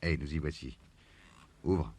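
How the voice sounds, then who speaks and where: A man playing a shrewd, patient detective in a crime radio play, probing and calmly assured. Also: very deep voice, measured pace. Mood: assured, explaining. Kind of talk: French radio crime drama acting.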